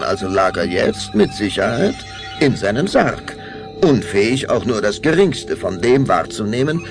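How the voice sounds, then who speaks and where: A man narrating a children's radio play as a theatrical, suspenseful storyteller. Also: on the low side. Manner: suspenseful, theatrical, shifting to whispers.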